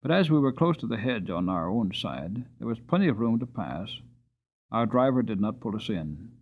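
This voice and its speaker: A man reading a storybook aloud as a dramatic, expressive audiobook narrator telling a tale in the first person. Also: on the low side, phone-quality sound. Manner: expressive, slowing on sad passages.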